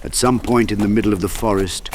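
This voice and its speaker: Male narrator for dark fantasy audiobook: low-pitched, dramatic, scripted storytelling. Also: fairly even intonation, quick pace, background noise.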